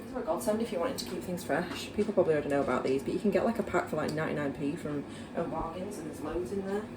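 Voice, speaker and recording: A woman's voice: deep voice, rapid-fire, distant mic. A young woman with a Yorkshire accent, chatting casually to camera in her kitchen, rambling with lots of fillers. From Yorkshire, England. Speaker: vlogger. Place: home kitchen, indoors. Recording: echoey room with background noise.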